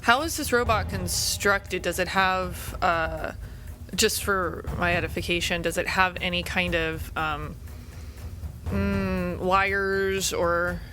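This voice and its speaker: A woman's voice: fairly low pitch, fairly even intonation, slow pace, sharp tone, soft background music. A woman playing in a tabletop role-playing game, asking questions and thinking through her moves aloud. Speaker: player.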